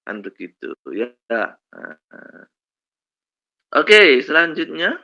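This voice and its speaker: A male lecturer with a slight cold, telling stories slowly with many fillers in a lesson on Islamic law. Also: a little high, big pitch swings, pushed and bright. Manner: slow storytelling with many fillers and "kan begitu ya". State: has a cold, coughs. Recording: dull lo-fi recording.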